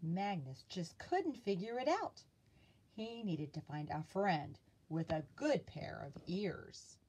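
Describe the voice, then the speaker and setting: A woman narrates a children's picture book at a leisurely pace. Her voice is soft-edged and mellow, a little rough in texture, and swings expressively up and down as she reads. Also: on the low side, animated, slow pace. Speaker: library storytime reader.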